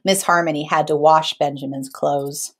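A woman in her thirties reading a picture book aloud to children in an expressive, teacherly way. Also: low-pitched, normal speed. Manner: teacherly, checks in with listeners.

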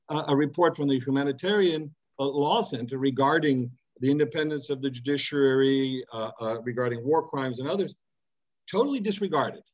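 A middle-aged man speaking from a home office over an earphone mic, asking a long, deliberate question with emphatic repetitions. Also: a little high, highs cut off. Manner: deliberate, emphatic, with many repeated words. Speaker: audience member asking a question. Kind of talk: earnest spoken question on a video call.

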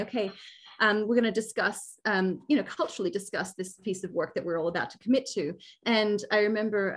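A woman's voice: mid-range voice, husky. A woman from Indonesia speaking passionately on a panel through a video call, with earphones, from a room with bookshelves. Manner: emphatic, passionate. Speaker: filmmaker panelist. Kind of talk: casual panel talk over video call. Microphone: earphone headset on video call.

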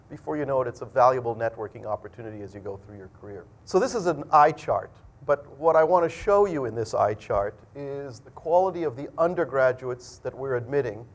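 A middle-aged man speaking as a school dean, giving an explanatory, conversational presentation to students. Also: mid-range voice. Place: indoor lecture room at a podium.

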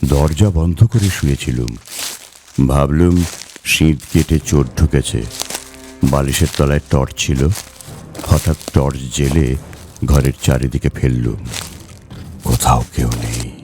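A man tells a ghost story in a very low voice. His reading is slow, measured and dramatic, in the manner of a seasoned storyteller, with soft music underneath. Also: steady tone. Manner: measured, acts out the dialogue.

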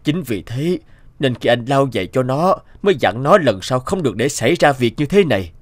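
A man's voice: medium pitch, very animated, moderate pace, no echo. A man voicing a stoic older general, a proud grieving father in a cartoon, solemn and restrained.

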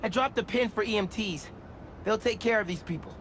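A young man voicing a youthful, earnest, excitable superhero in a video game. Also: high-pitched, fairly quick, background noise, lightly acted. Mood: urgent. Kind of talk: video game cutscene acting. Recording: loud dramatic music behind.

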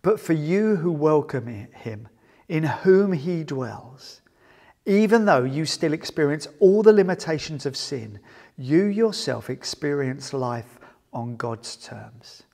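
Guy pastor doing communion prayers, croaky voice, earnest with lots of pauses, super echoey big hall. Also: a little high, expressive. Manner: earnest, pausing between short phrases, trailing off softly. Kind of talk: prayer and scripture reading for church service. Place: large empty indoor sports hall. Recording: echoey sports hall with long reverb.

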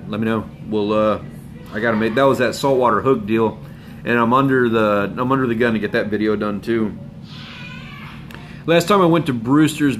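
A man hosts a fishing channel live stream from home, chatting loosely with viewers and sounding hoarse from a cold. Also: medium pitch, a little hiss or hum. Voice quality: rambling, laid-back, with chuckles and filler words. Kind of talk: casual live stream chat. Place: home room with bookshelves and a window. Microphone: phone camera up close.

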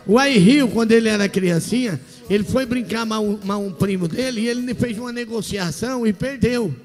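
Middle-aged Brazilian male stage host, very high voice, boisterous teasing banter, reverberant studio with faint music. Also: normal speed. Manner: teasing, boisterous, repeats himself. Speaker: TV variety show host. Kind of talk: playful on-stage interview banter. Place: large indoor TV studio stage. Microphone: handheld microphone. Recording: echoey TV studio hall, music.

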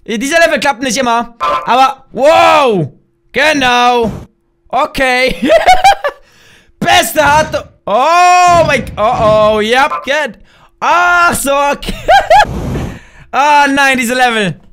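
Heiserer junger typ, ruft ständig laut rum und lacht, stimme grell und hoch, kommentiert ein spiel in seinem zimmer. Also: male voice, very high-pitched, sing-song, drawn-out words, long pauses. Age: young adult. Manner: loud, shouty, laughing, playful exclamations. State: hoarse. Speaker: gaming YouTuber. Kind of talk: excited gameplay commentary. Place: small room at home, gaming chair. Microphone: headset with desk mic.

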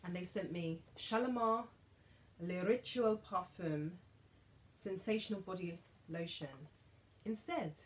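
A British woman in her thirties chatting to camera in a beauty haul vlog, animated and dropping to whispers for asides, filmed in her dressing room. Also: on the low side, measured pace, pauses often. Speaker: beauty vlogger. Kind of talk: casual haul chat to camera. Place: bedroom dressing room with vanity, indoors.

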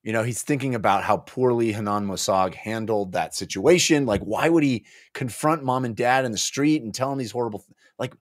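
A man's voice: medium pitch, brisk, no room sound. Man talking books, dry close mic. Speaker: book club podcast co-host. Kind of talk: casual animated book discussion. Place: small home office, indoors. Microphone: close podcast mic on boom arm.

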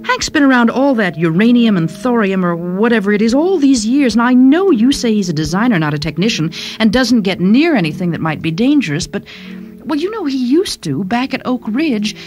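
A woman's voice: on the high side, expressive, fairly quick, darkish tone, faint music behind, voice pushed up, natural voice. A woman voicing an anxious older mother in a short story, hesitant, fussing and apologetic. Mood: worried, hesitant. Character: anxious older mother writing to her daughter.

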